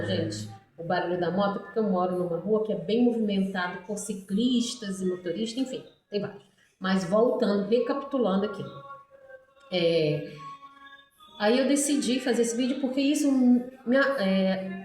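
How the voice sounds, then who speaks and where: A woman's voice: medium pitch, a little mellow. A middle-aged Brazilian woman chatting casually and in a rambling way to her followers about herself, with frequent tag questions. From Brazil, born in Ceará, raised in Pará. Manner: rambling, frequent "né" tags, addresses viewers. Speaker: hairdresser making a video for followers. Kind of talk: casual chatty vlog monologue. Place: echoey space by a busy street. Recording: echoey, distant microphone.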